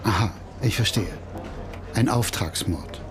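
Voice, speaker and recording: An actor playing a shrewd, sceptical defence lawyer in a radio crime drama, dismissive and probing. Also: male voice, on the low side, measured pace, a crowd in the background, lightly acted.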